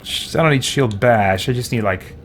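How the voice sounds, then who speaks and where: A man's voice: mid-range voice, moderate pace, a bit bright, slight background noise. A man giving casual let's play commentary, thinking aloud to himself as he makes choices. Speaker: gaming channel host.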